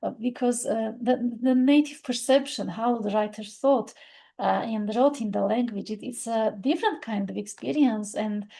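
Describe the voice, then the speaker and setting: A woman's voice: on the high side, gravelly, dark tone. Reflective woman, Armenian native speaker. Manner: halting, many fillers and restarts; reflective, thoughtful. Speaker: literature video presenter. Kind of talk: unscripted literary talk.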